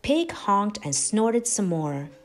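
Slow, animated woman reading a storybook. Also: mid-range voice, soft background music. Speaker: storybook narrator, host of read-aloud channel. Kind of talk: expressive children's read-aloud.

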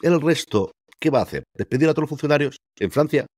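A man's voice: fairly high pitch, lively intonation, fast talker, darkish tone, no room sound, clean studio sound. A man from Spain co-hosting a casual TV series review chat over a video call, talking emphatically and firing off rhetorical questions. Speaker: TV series critic co-host. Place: home room, remote call. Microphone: headset and desk mic over video call.

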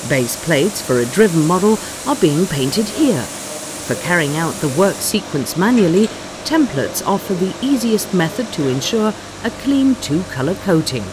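A narrator reading a scripted factory documentary in a measured, explanatory way. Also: mid-range voice, very animated, noisy background. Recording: music bed behind narration. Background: background music.

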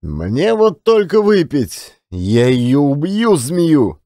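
A weak, bitter younger man given to drink acted by a male narrator complains slowly and plaintively. He sounds self-pitying and aggrieved, in a dry audiobook drama recording. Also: fairly high pitch, big pitch swings, warm tone, no echo, heavily acted.

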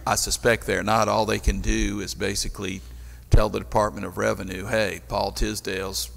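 An older man questioning staff as a council member in a formal meeting, halting and deliberate, at a desk microphone in a chamber. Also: fairly low pitch, little pitch movement, vocal fry, a bit bright. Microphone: gooseneck desk microphone. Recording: reverberant meeting hall.

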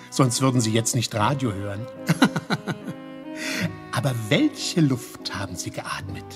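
An actor playing a brisk, demanding businessman in a radio play, speaking emphatically and precisely. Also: mid-range voice. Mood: smooth selling. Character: brisk, demanding businessman with slick sales patter. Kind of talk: German radio play acting. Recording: loud music behind the voice. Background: loud music.

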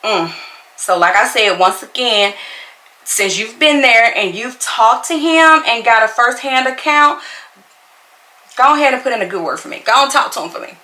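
Woman in her forties, sassy and emphatic, bright tone, roomy echo. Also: fairly high pitch, expressive, moderate pace. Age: 40s. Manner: sassy, talks straight to the commenter, repeats herself for emphasis. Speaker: YouTuber. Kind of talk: casual vlog reply to a commenter while doing makeup. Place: bedroom at home, indoors. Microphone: fixed camera at desk.

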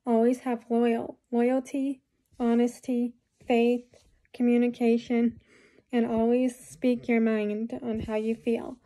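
A young woman talking to her phone camera in a small room, giving rambling advice with many fillers, a bit hoarse from a cold. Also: fairly high pitch, flat delivery, takes it slowly, mellow. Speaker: vlogger. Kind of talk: casual talk to camera. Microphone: phone camera at close range.

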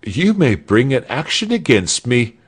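Storybook executioner: a man as a polite old gentleman, high, fussy, kindly and animated. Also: measured pace, slightly rough. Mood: politely insistent. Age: older. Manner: fussy, mild, courteous.

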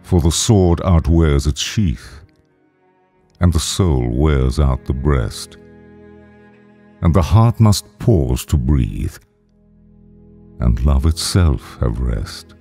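A man giving a solemn poetry recitation with grave, measured phrasing. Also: extremely low pitch, animated, gravelly, mellow. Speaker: poetry reader. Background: faint string music.

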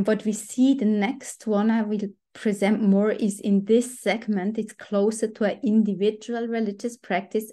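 A woman's voice: medium pitch, warm tone. A woman in her forties from Switzerland with a Swiss German accent, giving an explanatory academic presentation on a video call. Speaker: guest researcher. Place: indoors at home on a video call. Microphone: computer microphone on video call.

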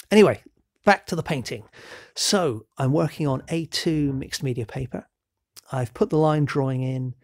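Man, has a cold, gravelly, dry close sound, relaxed painting tutorial narration. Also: mid-range voice, no room sound. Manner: relaxed, explanatory, with frequent ums and self-corrections. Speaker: painting instructor. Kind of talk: real-time painting demo narration.

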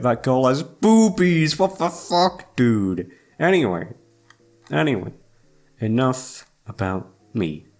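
A young man chatting casually to his listeners in a hesitant, self-deprecating way, swearing freely and trailing off. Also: medium pitch. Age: young adult. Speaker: YouTube voice actor and channel host. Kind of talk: casual rambling chat to listeners. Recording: music playing behind the voice. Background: background music.

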